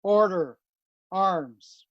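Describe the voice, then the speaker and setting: Very slow-paced woman calling drill commands. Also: lowish voice, no room sound, lo-fi sound. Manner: clipped commands with long pauses. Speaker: drill caller.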